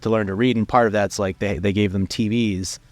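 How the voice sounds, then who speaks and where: A young man talking casually as an interview guest, relaxed and rambling, with many fillers and restarts. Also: on the low side, brisk, no echo, faint music behind. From United States, raised in Venezuela. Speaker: filmmaker guest. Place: studio.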